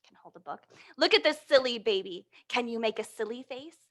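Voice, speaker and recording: A woman presenting an interactive picture-book storytime for young children, encouraging and animated, often asking questions and answering them aloud. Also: a little high, a little fast.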